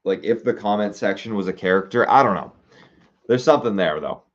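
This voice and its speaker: Young male streamer, low voice, slightly dull lo-fi sound, casual, halting, reading chat and mulling ideas aloud. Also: animated. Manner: musing aloud, reading comments, halting with fillers. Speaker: streamer reading viewer suggestions.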